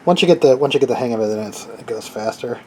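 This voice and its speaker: A man giving a casual, unscripted how-to as a hobbyist builder, halting and thinking aloud while he works with his hands. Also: medium pitch, lively intonation, background noise.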